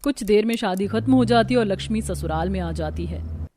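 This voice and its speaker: A woman's voice: medium pitch, fairly quick, dark tone, a little hiss or hum. A woman narrating a cartoon family story as a scripted storyteller.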